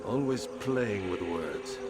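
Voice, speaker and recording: A man's voice: fairly low pitch, animated, slow pace, over loud music. An actor playing a proud, worldly Crusader king in a video game, with a grandiose, formal, rhetorical delivery. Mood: wry, resigned. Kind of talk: dramatic video game dialogue.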